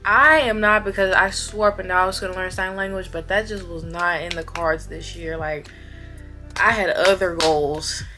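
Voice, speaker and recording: A young woman in her twenties talks straight to her viewers in a bright, clear voice. She is chatty and animated, often repeating words for emphasis. Soft music plays faintly underneath. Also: lowish voice, normal speed. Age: 20s. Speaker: vlogger. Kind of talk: casual chat to camera.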